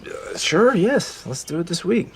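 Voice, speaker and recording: An actor playing a smooth, accommodating wealthy man in a secret affair, in naturalistic TV drama style. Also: high-pitched, expressive, unhurried, exaggerated voice.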